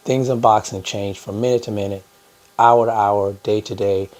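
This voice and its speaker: Man, hoarse, lowish voice, casual host, filler-heavy chat. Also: fairly even intonation, normal speed, a little mellow. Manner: conversational, many "uh" fillers, addresses "guys". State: hoarse from a cold. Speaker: boxing YouTube host. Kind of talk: casual news commentary to viewers.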